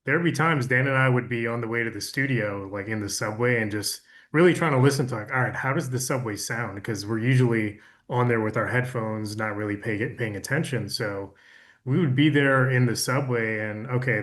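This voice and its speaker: Measured man with a mid-range voice. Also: mid-range voice, fairly bright tone. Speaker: audiobook producer and interview guest. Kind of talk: casual panel conversation.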